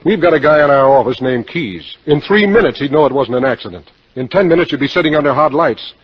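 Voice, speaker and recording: Muffled vintage radio sound frames a hardboiled man with a husky voice. He is a cynical insurance salesman who talks in terse, slangy wisecracks, in fifties noir style. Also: on the high side, lively intonation, fast. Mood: hard warning. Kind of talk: 1950s radio drama acting, hardboiled noir. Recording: dull, lo-fi 1950 radio broadcast.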